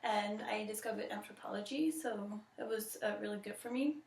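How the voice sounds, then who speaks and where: A woman's voice: medium pitch, normal speed, vocal fry, darkish tone. A young woman from France, an international student, speaking thoughtfully in an interview with frequent fillers. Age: young adult. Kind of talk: interview testimony. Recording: echoey room, mic at a distance.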